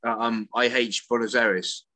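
Brief: male co-host and teacher, bright and forceful voice, stumbling starts, dry close mic. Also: mid-range voice, unhurried, no echo. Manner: animated storyteller, repeats words when starting sentences. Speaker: podcast co-host and English teacher. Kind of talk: casual chat. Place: works in Italy.

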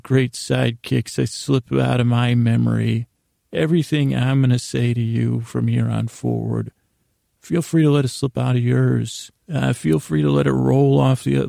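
A man hosting a bedtime podcast in a meandering, halting monologue with a gravelly voice, often interrupting himself. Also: medium pitch, mellow, dry recording, studio quality. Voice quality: gravelly, creaky. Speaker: podcast host. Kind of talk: rambling bedtime monologue to help listeners sleep.

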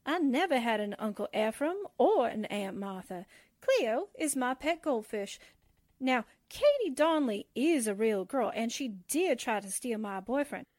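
A high-voiced, slangy young newspaperwoman out of a 1940s-style radio play, played by a woman. She takes her time over her words in a streetwise way. The sound is dry and slightly dull. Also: big pitch swings, unhurried, darkish tone, dry recording. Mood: brash, gleeful. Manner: wisecracking, streetwise, brash. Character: sassy, slangy young female undercover newspaperwoman. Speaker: actress in a radio drama. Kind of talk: 1940s radio drama acting.

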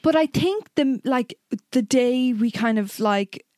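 A woman's voice: on the high side, slow, a little mellow. A young woman in her twenties, co-hosting a podcast in casual, chatty talk that often voices her own past thoughts. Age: 20s. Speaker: podcast co-host. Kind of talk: casual chat.

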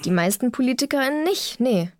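A young female climate activist played by a woman speaks in a fairly high voice with very little room sound. She is earnest and defiant, talking colloquially, as in a naturalistic radio play. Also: animated, brisk, darkish tone, dry recording, clean studio sound. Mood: earnest conviction.

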